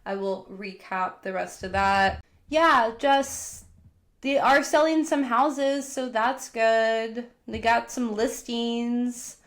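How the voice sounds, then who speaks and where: A woman's voice: fairly high pitch, steady tone, slow. A woman hosting a podcast, recapping pop culture in a casual, gossipy way. She fills her talk with "like" and sounds congested from a cold. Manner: chatty, heavy use of "like", gossipy retelling. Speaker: podcast host.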